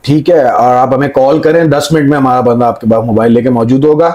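A middle-aged man with a Pakistani accent giving an informal sales pitch as a phone seller, often repeating filler phrases as he lists things. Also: fairly even intonation, fairly quick, dark tone. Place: indoor room with display table.

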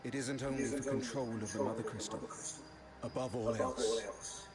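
An actor playing a stern, loyal middle-aged knight commander in a video game, speaking formally and earnestly. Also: male voice, medium pitch, fairly even intonation, moderate pace, over loud music, close to an everyday voice. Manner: earnest, formal military bearing. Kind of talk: video game cutscene acting.

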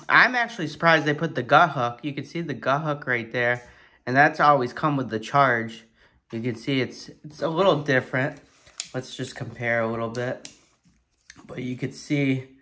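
A man giving a casual, excited hands-on product review at his kitchen table, thinking aloud and swearing in surprise. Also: medium pitch. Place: kitchen table at home, indoors.

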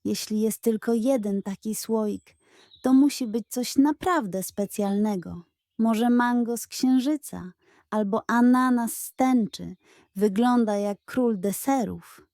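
A woman narrating a children's story in an expressive, scripted storytelling style. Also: fairly high pitch, dry recording, studio quality.

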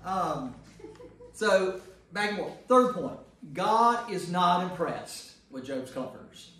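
A man's voice: sits high, animated, slow pace, fairly bright tone. A man leading a church Bible study as priest, teaching informally and checking in with rhetorical questions. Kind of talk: informal teaching to a discussion group. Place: reverberant room at a church group meeting. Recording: very echoey room, distant mic, faint noise.

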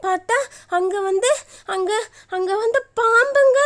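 A woman voicing a timid, fretful young village wife in an animated story. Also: very high-pitched, measured pace. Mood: anxious, pleading. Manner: fretful, pleading, repetitive.

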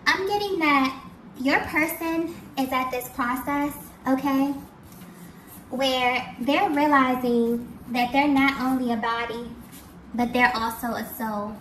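A woman with a fairly high voice delivers an intuitive tarot reading directly to the listener. She is earnest and breaks her phrases up with small check-ins. The recording is echoey, with clear background noise. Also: steady tone, slow, fairly bright tone. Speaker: tarot reader. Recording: roomy, distant mic, clear background noise.

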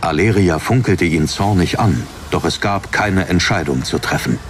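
A man's voice: low-pitched, moderate pace, a little hiss or hum. A man reading a fantasy story as a scripted, dramatic audiobook narration. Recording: narration over background music.

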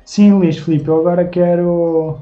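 A man's voice: high voice, steady tone, unhurried, mellow, faint music behind. Lisbon-accented young man on a lo-fi stream. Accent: European Portuguese, Lisbon. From Portugal. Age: 20s. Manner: chatty, thanking viewers by name while showing drawings. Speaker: live-stream host and artist. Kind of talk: casual live-stream chat, reading viewer comments. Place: small home room indoors.

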